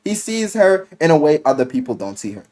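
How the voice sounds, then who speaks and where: A man casually retelling a video game story in an animated, rambling, slangy way, with plenty of swearing. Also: fairly high pitch, a little fast. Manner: animated, exaggerated, swearing, acts out quotes in mock voices. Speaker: game reviewer.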